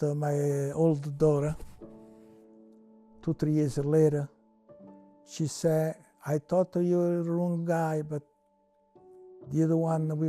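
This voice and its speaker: An older man from Italy with an Italian accent and broken English, slowly reminiscing in an interview with many restarts. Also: a little high, dark tone.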